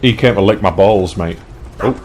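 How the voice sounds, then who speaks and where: A man's voice: lowish voice, moderate pace, a little mellow. A British man chatting casually while he plays a game, sweary and laddish. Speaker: let's play gamer. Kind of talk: casual chat while playing. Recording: faint game noise behind voice. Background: video game sound.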